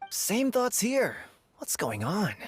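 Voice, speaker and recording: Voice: mid-range voice, wildly swooping pitch, slow. An actor playing an opinionated, boastful townsperson in a video game, chatty and emphatic. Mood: surprised. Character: opinionated, boastful townsperson, loyal and forgetful gossip. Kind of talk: video game character acting. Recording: music behind the voice.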